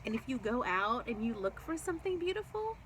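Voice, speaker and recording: A woman with a fairly high, highly animated voice reads a children's storybook aloud. She is expressive and explanatory, speaking as to young listeners, with some noise in the background. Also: brisk, rough recording. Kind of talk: children's book read-aloud with chatty asides.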